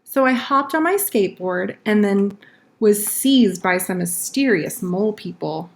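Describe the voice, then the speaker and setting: A woman plays a storybook schoolboy full of tall-tale excuses. The delivery is earnest and slow, laying out each excuse as a story. The pitch stays in a medium range for a woman. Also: lively intonation. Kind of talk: storybook read-aloud.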